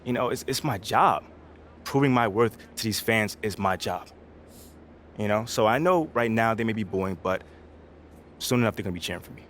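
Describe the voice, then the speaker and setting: A man's voice: fairly low pitch, animated, normal speed, pauses often, a bit bright. An actor playing an earnest young male basketball rookie in a video game, talking in an informal, self-assured, slangy way. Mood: earnest, confident. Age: young adult. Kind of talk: video game cutscene acting, casual interview talk. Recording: clear background noise.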